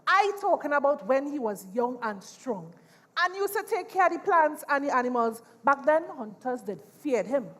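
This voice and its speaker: A woman's voice: high-pitched, sing-song, normal speed, a bit bright. A woman playing a boastful old retired blood-sucking spirit on stage, with a Trinidadian accent and emphatic, dramatic storytelling. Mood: boastful, nostalgic. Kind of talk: theatrical stage dialogue. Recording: echoey theatre hall.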